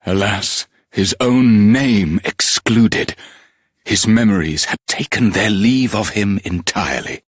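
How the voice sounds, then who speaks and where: Wistful game courtier, low-pitched, rough-voiced, measured archaic storytelling. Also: male voice, dry recording, clean studio sound. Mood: wistful, grave. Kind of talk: video game character acting.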